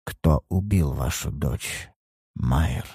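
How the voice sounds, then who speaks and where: A blunt, cold private detective, as the narrator plays him, low and quiet, set back slightly from the mic. He speaks well below the reader's usual pitch and stays hushed. The recording is dry and close. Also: slow pace, gravelly, breathy edge, dry recording, exaggerated voice. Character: hard-boiled male private detective, cool and blunt.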